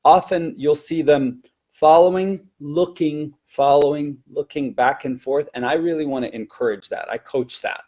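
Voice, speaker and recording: A man's voice: fairly high pitch, fairly even intonation, moderate pace, warm tone. A man who teaches elementary Spanish, giving an explanatory teacher-training talk in a hesitant, self-correcting way.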